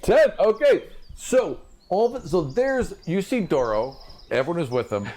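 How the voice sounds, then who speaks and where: A man running a tabletop game as game master, improvising casual, animated narration with spoken sound effects. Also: high-pitched, moderate pace.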